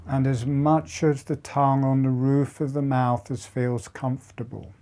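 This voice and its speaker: A man leading a guided breathing and jaw relaxation meditation in slow, soft, broken phrases with long pauses. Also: mid-range voice, little pitch movement. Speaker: meditation teacher.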